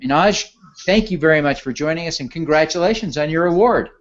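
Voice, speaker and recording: A man's voice: a little high, lively intonation, brisk, dull audio. A man speaking as the host of an interview show, introducing and questioning a guest in a formal, courteous way.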